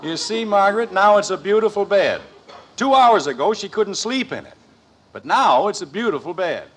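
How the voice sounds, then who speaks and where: An exasperated American father in an old radio comedy. His fairly high voice moves up and down freely as he sputters, hedges and turns drily sarcastic. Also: animated, normal speed, a little hiss or hum. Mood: dryly sarcastic. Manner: hesitant, sputtering, dryly sarcastic. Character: exasperated, harried suburban father, middle-aged, sarcastic. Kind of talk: 1950s American radio sitcom acting. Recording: vintage 1950s radio recording.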